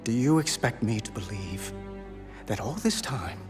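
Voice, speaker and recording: An actor playing a noble young captive prince in a game cinematic, earnest, weary and defiant. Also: male voice, mid-range voice. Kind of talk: dramatic game cinematic acting. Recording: loud cinematic music behind.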